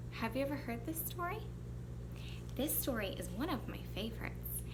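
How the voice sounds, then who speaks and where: Woman, teacher, storytime read-aloud, unhurried, lively intonation, faint background noise. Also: a little high.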